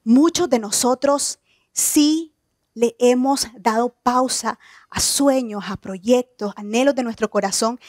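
Woman, 30s, keynote speaker; earnest, personal delivery, fairly high voice, close dry mic. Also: takes it slowly, a little mellow, no room sound. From Nicaragua. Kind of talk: motivational stage talk. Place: outdoor evening stage event.

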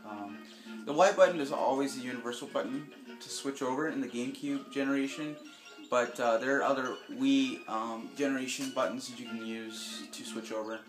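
A man casually commenting while he plays a video game, slightly raspy and hesitant, with lots of ums, filmed off a TV at home. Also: medium pitch. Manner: rambling, hesitant with many ums. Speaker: gameplay video host. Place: home room with TV, filming screen. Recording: echoey room, game music behind.

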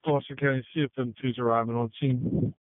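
Quick-talking man on tinny radio. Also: medium pitch, fairly even intonation, dry recording. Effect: two-way radio. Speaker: firefighter calling in. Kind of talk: brief emergency radio calls. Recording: tinny dry fire radio transmission.

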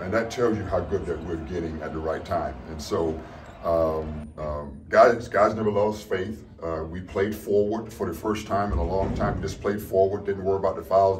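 A middle-aged man, a head basketball coach, giving an upbeat postgame interview in an arena corridor, rambling and restarting his sentences. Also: low voice. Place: arena corridor by a painted wall, indoors. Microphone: handheld camera from a few feet off. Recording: distant mic with arena noise.

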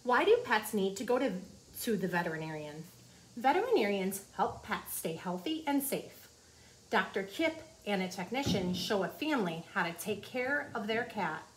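A woman's voice: medium pitch, expressive, normal speed. A woman in her forties reading a children's nonfiction book aloud like a teacher, explaining things simply to young listeners in a small office. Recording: clearly noisy room ambience.

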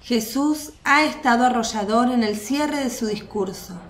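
A woman's voice: fairly high pitch, a little slow. A woman reading scripted narration for a radio drama. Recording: echoey, crowd murmur behind.

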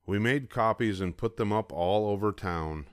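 An older man reading a picture book aloud in steady, even narration close to the mic. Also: fairly low pitch, normal speed, dry recording. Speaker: storybook reader.

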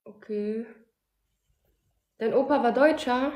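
A woman's voice: a little high, slow pace, a little mellow. A nineteen-year-old woman from Germany chatting on a video call, giving short, shy answers. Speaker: live stream guest. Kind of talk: casual video call chat.

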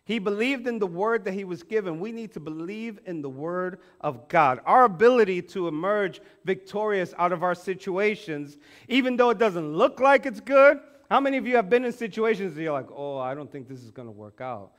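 High-voiced male pastor preaching. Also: normal speed. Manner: animated, acts out quoted voices, swings from loud to hushed. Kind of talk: sermon. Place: church stage with band instruments.

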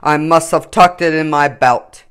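A woman's voice: sits low, unhurried, smooth. A woman voicing a jolly old Santa in a storybook, hearty, emphatic and drawn out. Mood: jolly, delighted. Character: big jolly booming old Santa, ho-ho-hoing, male.

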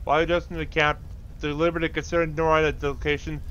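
A man's voice: a little high, flat delivery, fast talker, clear, resonant tone, highs cut off. A man giving casual gameplay commentary, muttering to himself as he plays. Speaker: gaming video host, player. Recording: loud game music behind.

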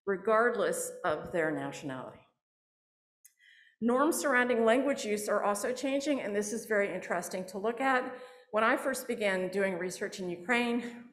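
A mid-pitched woman delivers an academic talk to an audience, explaining step by step and often restarting her sentences. She speaks into a podium microphone. Her voice carries into an echoey lecture hall. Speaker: anthropology professor giving a public lecture. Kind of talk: academic presentation.

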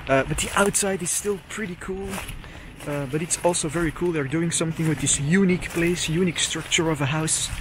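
A man's voice: on the high side, fairly quick, noisy background. A man casually narrating his walk around an abandoned villa outdoors, enthusiastic and full of fillers, speaking into a handheld camera mic. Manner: chatty, enthusiastic, many fillers. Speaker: urban explorer vlogger. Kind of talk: casual walkthrough commentary.

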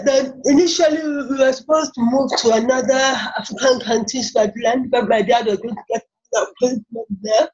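Woman, from Ghana, 40s, halting with repeated words, video call from an office, muffled audio. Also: a little high, darkish tone. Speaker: interview guest, software engineer. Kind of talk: casual interview talk. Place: small office indoors. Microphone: laptop webcam on a video call.

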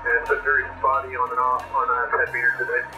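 Male pilot heard over ham radio, flat, even delivery, radio-signal sound with faint music behind. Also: medium pitch. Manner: measured, uses phonetic alphabet. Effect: radio transmission. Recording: radio signal picked up in room.

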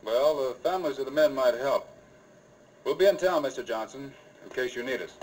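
An American man playing a brisk, steady frontier lawman in a radio western, clipped and commanding. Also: medium pitch, close to an everyday voice, poor audio. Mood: calm, friendly. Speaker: lead actor in a western radio drama. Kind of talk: 1950s radio western acting.

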